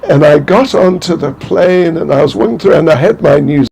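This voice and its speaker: An older man from New Zealand giving an informal spiritual talk, halting and rambling, with many restarts and small chuckles. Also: fairly high pitch, vocal fry, a little mellow, slightly rough. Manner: chuckles to himself. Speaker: spiritual teacher.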